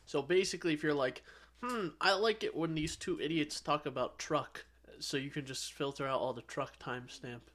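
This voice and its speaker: A man's voice: on the high side, normal speed, fairly bright tone. A man co-hosting a podcast in casual chat, rambling and sarcastic, with an occasional stutter. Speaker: podcast co-host.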